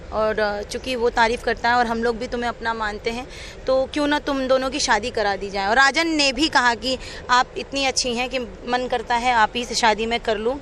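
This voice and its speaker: A woman's voice: a little high, a bit bright, a little hiss or hum. A woman giving an unscripted, earnest and detailed personal account as an interviewee. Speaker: interviewee telling her own account.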